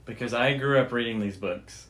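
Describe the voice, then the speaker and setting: A man's voice: mid-range voice, moderate pace, a bit bright, poor audio. A man co-hosting a show, explaining things in a casual, enthusiastic and informal storytelling way. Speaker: podcast co-host.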